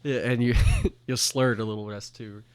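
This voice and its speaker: A man's voice: mid-range voice, fairly even intonation, normal speed, clean tone. Chatty young man laughing often. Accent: Southern US. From southern US. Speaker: podcast co-host. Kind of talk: casual chat.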